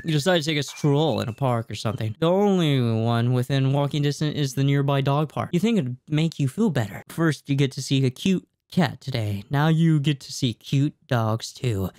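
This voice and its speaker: A man's voice: medium pitch, normal speed, hardly any pauses, darkish tone. A man in his twenties doing a let's play, reading game text aloud and reacting casually, sometimes stumbling over words, close on a desk mic. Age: 20s. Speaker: video game streamer. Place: small room indoors at a gaming setup. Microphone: close desk microphone, headset worn. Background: game music.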